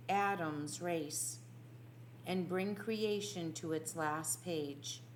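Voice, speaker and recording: Woman acting solemn praying man, poetic, resolute, deliberate slow delivery, storybook drama. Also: on the low side, slight background noise, rough recording.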